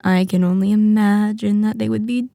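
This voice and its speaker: A woman playing a possessive, sadistic female vampire in an ASMR roleplay, seductive, menacing and condescending, teasing and savoring each phrase with pauses. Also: medium pitch, little pitch movement, gravelly, dark tone, no room sound, studio quality, close to an everyday voice. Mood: amused, taunting. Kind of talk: scripted ASMR roleplay monologue.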